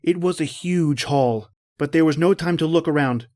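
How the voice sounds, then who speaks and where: A man's voice: a little high, moderate pace, darkish tone, lightly acted. A man playing a shipwrecked big-game hunter, polite and observant, in earnest first-person radio drama style. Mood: watchful, curious.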